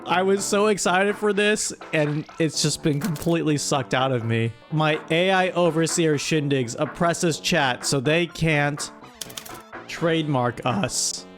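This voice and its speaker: A woman's voice: low voice, a little slow. A woman hosting a casual livestream, playful and mock-dramatic as she reads out and comments on a story. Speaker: streamer, host. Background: music.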